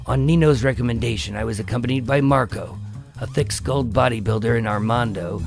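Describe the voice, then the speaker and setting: A man playing a weary, calculating undercover agent, terse and measured, in a noir crime radio drama. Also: medium pitch, gravelly, lightly acted. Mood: brooding narration. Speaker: lead actor and narrator. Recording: music under the voice.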